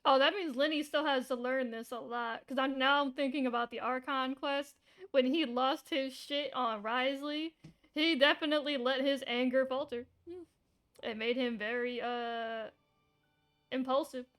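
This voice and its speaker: A woman's voice: high voice, steady tone, normal speed, fairly bright tone. A woman streamer giving casual live commentary on a game, blunt and freely swearing. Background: faint game music.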